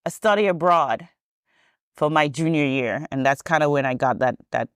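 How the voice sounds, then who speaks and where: A woman's voice: low-pitched, normal speed, no echo, clean studio sound. A woman, a podcast guest telling her story in a chatty, rambling way with many ums and self-corrections. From Trinidad and Tobago. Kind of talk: casual storytelling interview.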